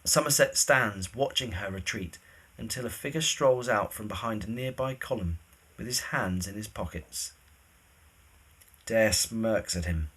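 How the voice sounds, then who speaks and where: A man's voice: lowish voice, a little slow, a bit bright, slightly rough, poor audio. A man reading scripted, scene-setting narration for a period drama.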